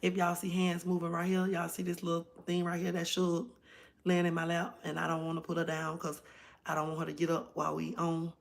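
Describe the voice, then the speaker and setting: A hoarse woman hosting a casual livestream from home, chatting directly to her viewers. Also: on the low side, completely flat delivery, a little fast, slight background noise. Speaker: livestream host.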